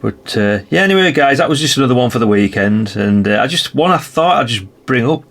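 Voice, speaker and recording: A man's voice: medium pitch, animated, fairly bright tone. A British man in his fifties chatting casually to viewers in a musing, rambling way, often tagging questions onto his remarks and correcting himself. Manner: musing, unhurried, frequent tag questions and self-corrections. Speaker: radio hobbyist presenting a video. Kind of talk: casual rambling vlog chat.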